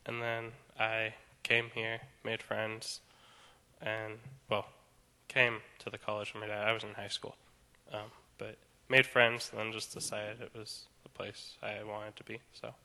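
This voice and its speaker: Young male, unhurried, clean tone. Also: lowish voice, monotone, pushed and bright, low-quality recording. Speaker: music student.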